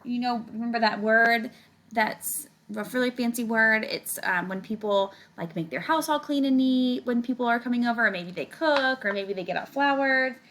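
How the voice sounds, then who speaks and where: Woman, high voice, children's read-aloud, chatty casual asides, checking questions. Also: normal speed, fairly bright tone. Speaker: teacher reading a picture book aloud to children.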